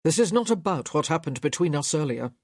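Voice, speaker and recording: A woman reading a stern young gentleman. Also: sits low, animated, a little fast, no echo, studio quality. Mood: firm. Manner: firm and controlled, clipped. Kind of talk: audiobook character voice.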